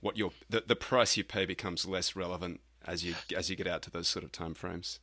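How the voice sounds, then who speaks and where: Low, bright-voiced male podcast host. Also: steady tone, brisk, no echo. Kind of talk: conversational interview questions.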